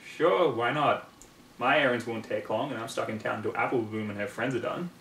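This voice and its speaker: A man's voice: medium pitch, fairly quick, a bit bright, close to an everyday voice. A man voicing a blunt, folksy farm mare, a protective big sister, in a storybook-style reading. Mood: friendly. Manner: folksy, blunt, drawling rural wording. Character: plainspoken, no-nonsense country mare, a protective older sister. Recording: roomy, distant mic, faint noise.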